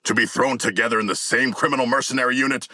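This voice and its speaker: A man voicing a formal, bloodthirsty yet childlike humanoid shark warrior for a video game, with gravelly, stilted, grandiose speech. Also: medium pitch, steady tone, fast talker, sharp tone, dry recording. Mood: calm, earnest.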